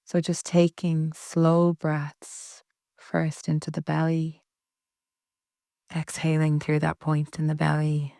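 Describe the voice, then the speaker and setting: A woman leading a guided relaxation meditation in a soft, breathy, soothing way with long pauses. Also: sits low, monotone, no echo, clean studio sound. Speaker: yoga nidra meditation guide.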